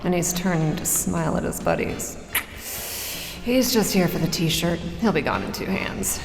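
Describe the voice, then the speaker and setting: A woman's voice: lowish voice, normal speed, creaky. An actress playing a shrewd, cool-headed woman gambler, muttering clipped, calculating asides in an audio drama. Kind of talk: audio drama acting, inner monologue. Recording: roomy, echoing hall reverb.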